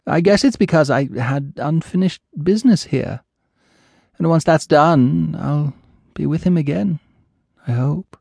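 A man's voice: a little high, unhurried, gravelly, a little mellow, no room sound, clean studio sound, pitched above the performer's own voice. A man reading an audiobook, voicing an elderly female ghost witch who is doting, nosy and fond of calling people dearie. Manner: fluttery, motherly, teasing, calls others dearie.

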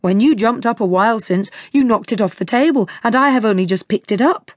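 A patient young apprentice answering his master calmly and respectfully, as a woman reads him. The voice sits fairly high and the recording is close and dry. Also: brisk, dry recording, natural voice.

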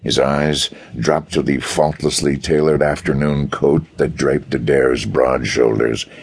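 Very deep gravelly male storyteller. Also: flat delivery, unhurried, a little mellow. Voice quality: gravelly, husky. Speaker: audiobook narrator. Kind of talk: dramatic scripted narration of pulp detective fiction.

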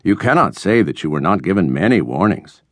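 A man voicing a stuffy, formal older male college dean who speaks in measured, disapproving phrases for a storybook. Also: low voice, big pitch swings, dry recording. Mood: lecturing, exasperated.

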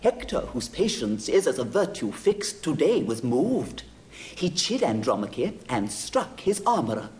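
Gossipy servant, shakespeare stage style, rhetorical storyteller with very lively pitch. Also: male voice, a little slow. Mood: relishing gossip. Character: gossipy male servant reporting battlefield news. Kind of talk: classical Shakespearean stage acting.

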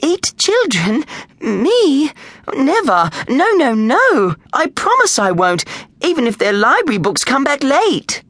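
A woman voicing an excitable, gushing lady wolf librarian in a children's storybook. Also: high voice, normal speed, no echo, voice pushed up, full character voice. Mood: horrified. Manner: gushing, giggly, emphatic.

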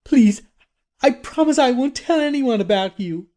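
A man's voice: sits high, normal speed, warm tone, voice pushed up, lightly acted. A man voicing frightened young women on the run in a horror story, anxious and pleading. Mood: terrified pleading.

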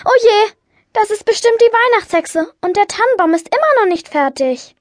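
A woman playing a busy, kind-hearted young witch in a children's audiobook, speaking in an expressive, exclaiming way. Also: very high-pitched, normal speed, dry recording, studio quality, faint music behind. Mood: worried.

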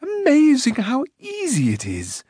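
A cheerful, scatterbrained young prince in an audiobook, as a man puts him on. The voice is pitched well up and bounces around as he chatters breezily. The recording is dry and close. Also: huge pitch swings, a little slow, mellow, husky, no room sound, heavily acted. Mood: breezy. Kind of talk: audiobook character voice.

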